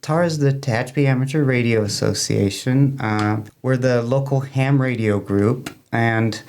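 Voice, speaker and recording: Man, creaky gravelly voice, folksy plain-spoken delivery, informal interview guest, rambling explanations. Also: mid-range voice, normal speed, darkish tone, slight background noise. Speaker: amateur radio club member, guest.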